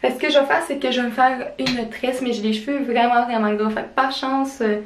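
A woman's voice: fairly high pitch, steady tone, brisk, a little hiss or hum. A young woman in her twenties with a Quebec French accent, chatting casually as a vlogger, rambling with lots of fillers into a handheld camera. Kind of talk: casual vlog chat. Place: small apartment indoors. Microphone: handheld camera at arm's length.

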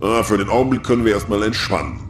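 An actor playing a grumbling, fatherly older spaceship crewman, wry and weary, with sighs and drawn-out interjections. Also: fairly low pitch, fairly even intonation, slight background noise, lightly acted. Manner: groaning, sighing, drawn-out interjections. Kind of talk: audio drama acting. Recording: roomy, loud music behind.

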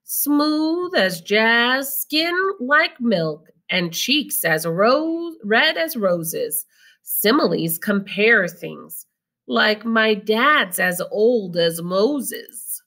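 Middle aged lady teacher doing a read aloud, slow pace, bright voice, explains as she goes. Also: medium pitch. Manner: instructive, deliberate, emphasizing key phrases. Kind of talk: picture-book read-aloud with explanatory asides.

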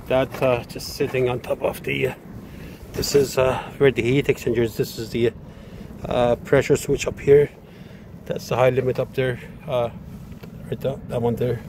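Halting man on a windy rooftop. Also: mid-range voice, level tone, a little mellow. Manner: halting, full of "uh" fillers. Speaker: HVAC technician. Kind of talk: casual work video narration. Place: outdoors on a commercial rooftop. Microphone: handheld phone camera. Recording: wind noise on the mic.

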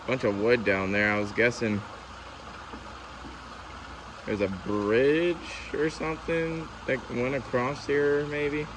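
A man's voice: medium pitch, fairly even intonation, takes it slowly, pauses often, background noise. A young man with a West Virginian accent, narrating a casual hiking vlog outdoors on a wooded trail, easygoing and chatty. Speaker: vlogger.

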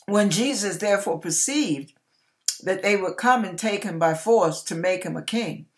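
A woman's voice: medium pitch, normal speed. Middle-aged woman reading scripture emphatically. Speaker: online church minister reading scripture. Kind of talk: reading Bible scripture aloud. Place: small indoor room with curtain.

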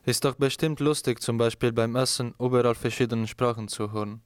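A man's voice: mid-range voice, fairly even intonation, a little fast, clear, resonant tone, no echo. A young man interviewing a guest, reading short questions. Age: young adult.

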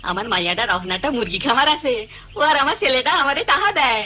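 A woman's voice: a little high, expressive, fast, sharp tone. A woman voicing a chatty, approving village parent in a cartoon, with a rural Bangladeshi accent. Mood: proud. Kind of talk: animated cartoon voice acting.

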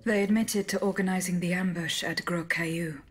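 A video game heroine who confronts people with formal, measured bluntness. Her voice is on the low side and husky. She comes across as composed and accusing. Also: fairly even intonation. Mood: measured, matter-of-fact. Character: composed, accusing female agent confronting a general.

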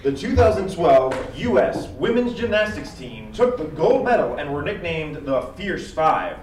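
A man running a school trivia night, reading questions and scores in a measured way. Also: fairly high pitch, sing-song, noisy background. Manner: measured, counts down, laughs at answers. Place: school library, indoors. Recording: echoey room from far off, loud music.

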